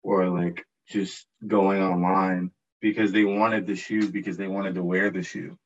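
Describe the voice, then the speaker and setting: A man with a deep voice talks slowly and without much variation in pitch. He is hesitant and thoughtful in casual conversation, and the recording sounds a little dull. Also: level tone.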